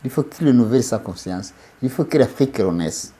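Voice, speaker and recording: A man speaks emphatically, restarting and repeating phrases as he builds a point. His voice is mellow and dark, at a middle pitch. He sounds like a guest in an interview. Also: expressive, fairly quick. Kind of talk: interview, political commentary.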